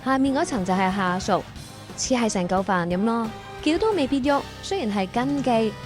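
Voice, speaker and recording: Higher-voiced Hong Kong Cantonese woman narrator. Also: lively intonation, moderate pace, music in the background. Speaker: host and narrator. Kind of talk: scripted narration of workplace audio drama.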